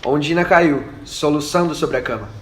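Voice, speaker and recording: A man's voice: fairly high pitch, moderate pace. A man narrating a scripted radio drama as its storyteller. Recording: echoey room with faint noise.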